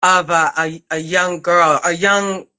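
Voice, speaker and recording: A woman hosting a casual chat as interviewer and acting teacher, speaking in an expressive, emphatic, slangy way. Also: lowish voice, slow.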